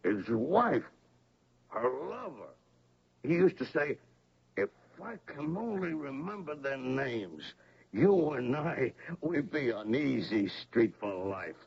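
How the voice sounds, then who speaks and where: An actor playing a half-mad, confused alcoholic derelict in a radio drama, halting and repeating himself as he pleads. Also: medium pitch, big pitch swings, gravelly, husky, full character voice. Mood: haunted, straining. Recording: dull, lo-fi old radio recording.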